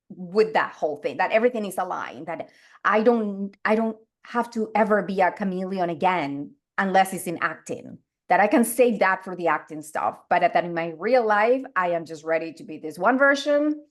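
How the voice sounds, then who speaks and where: A woman in her forties from Venezuela, a guest on a podcast, talking candidly and expressively with animated quoted asides. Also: fairly low pitch. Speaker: guest, life coach and actor. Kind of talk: casual podcast conversation.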